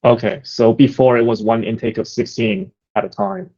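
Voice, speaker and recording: A man's voice: medium pitch, animated, normal speed, mellow. A man attending an online meeting, casually asking questions. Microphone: online meeting call. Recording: dull lo-fi online call audio.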